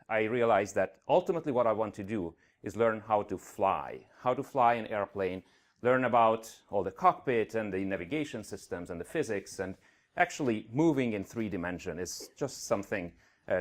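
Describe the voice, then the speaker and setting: A man's voice: on the low side, animated, normal speed. A middle-aged man from Hungary with a slight Hungarian accent, giving an earnest conference talk with frequent fillers. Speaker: conference speaker. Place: conference venue stage.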